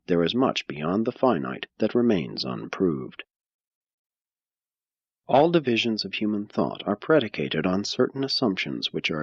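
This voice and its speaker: A man narrating an audiobook from a script, reading in a formal, measured, solemn manner. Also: lowish voice, vocal fry, slightly rough, no echo, dull audio.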